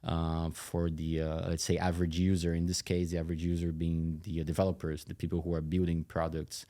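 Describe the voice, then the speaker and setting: A man explaining things conversationally as an interview guest, in a halting way with frequent asides. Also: sits low, monotone, dark tone. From Brazil. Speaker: tech company CEO, interview guest.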